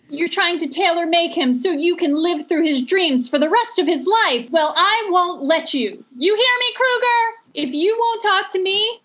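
A woman's voice: very high voice, a bit bright, full character voice. A woman playing a determined, defiant pregnant young heroine in an amateur horror script table read. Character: defiant pregnant young woman, Alice, shouting down her tormentor. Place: remote group voice call. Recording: muffled internet call audio.

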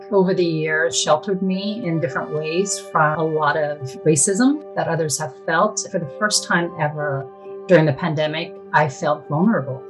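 A woman with a notably low voice gives a personal testimony. She sounds candid and earnest as she reflects, with instrumental music clearly audible behind her. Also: poor audio. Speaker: interview guest, hospital administrator. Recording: music under the voice.

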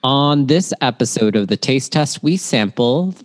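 A man's voice: mid-range voice, lively intonation, unhurried. A man hosting a podcast in a casual, chatty way and putting questions to his co-host.

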